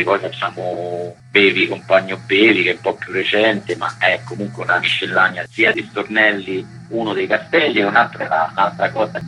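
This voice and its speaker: A man's voice: deep voice, sharp tone, low-quality recording. A man with a Roman accent giving casual, colloquial, rambling answers as an interviewed musician. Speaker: musician interviewed as band member.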